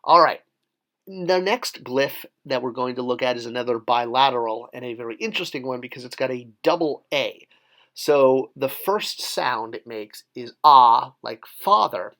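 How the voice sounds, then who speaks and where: A man's voice: medium pitch, pushed and bright. A man teaching how to read and draw hieroglyphs in a casual tutorial, explaining step by step with friendly, self-deprecating asides. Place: voice-over for an overhead video of paper drawings.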